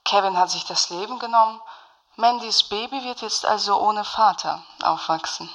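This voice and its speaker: An actress playing a bitter, sardonic former commune activist in a radio play phone call, speaking with pointed dry sarcasm. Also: female voice, medium pitch, moderate pace, a bit bright, natural voice.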